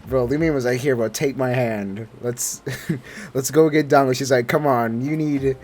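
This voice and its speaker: A man's voice: medium pitch, steady tone, normal speed, darkish tone. A young man giving casual, slangy reaction commentary on a game, emotionally invested and given to joking. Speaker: gaming video creator. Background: game music.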